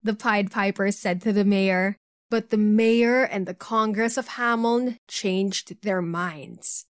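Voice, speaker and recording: A woman's voice: medium pitch, steady tone, slow pace, dry recording, clean studio sound. A woman narrating a scripted bedtime story for children.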